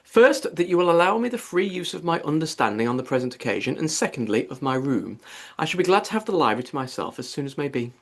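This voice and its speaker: Middle-aged gentleman father for a classic audiobook, acted by a male narrator: unruffled, formal, drily ironic, measured. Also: fairly high pitch, sing-song. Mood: drily polite.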